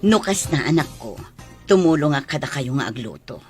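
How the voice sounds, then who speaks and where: An actress with an Ilocano accent playing a devoted, proud middle-aged mother in a radio drama, with a husky voice. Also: rumbling low, very animated, brisk, a little mellow, faint music behind. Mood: caring, resolute.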